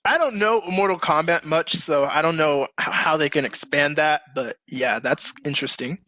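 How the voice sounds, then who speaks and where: A man's voice: on the high side, moderate pace, pushed and bright, dry recording. A man hosting a casual, slangy group chat as a podcast host. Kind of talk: casual group chat about games and movies.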